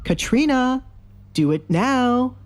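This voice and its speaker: A woman's voice: high voice, lively intonation, slow pace, pitched above the performer's own voice. A woman voicing an uneasy middle-aged baron and father in an audio drama, tense and eager to appease. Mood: urgent.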